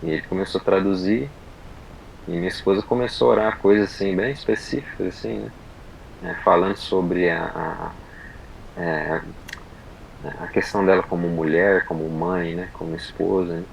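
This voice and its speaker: A man from Brazil telling a personal story on a podcast, in unhurried, halting casual chat full of fillers and restarts. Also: deep voice, fairly even intonation, creaky, slight background noise, poor audio. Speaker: podcast guest.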